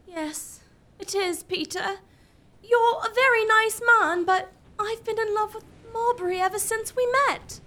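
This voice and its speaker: A woman's voice: very high voice, a little slow, bright, forceful tone, full character voice. A woman playing a sweet, melodramatic young heroine in love in a gothic comedy audio drama. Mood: gentle, apologetic.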